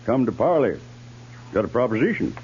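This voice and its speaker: A man's voice: mid-range voice, big pitch swings, brisk, darkish tone. An actor playing a weathered old American mountain man and scout, plain-spoken and proud, with a laconic backwoods drawl, in a 1950s radio western. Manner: laconic, folksy. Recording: muffled 1950s radio recording with hum.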